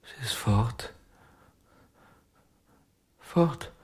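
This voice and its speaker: An actor playing an anguished, broken ventriloquist in a radio crime drama, speaking haltingly in a breathy voice and repeating himself in desperation. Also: male voice, medium pitch, animated, darkish tone, exaggerated voice. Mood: broken, lost. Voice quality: husky, breathy. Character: anguished, broken male ventriloquist pleading with his puppet.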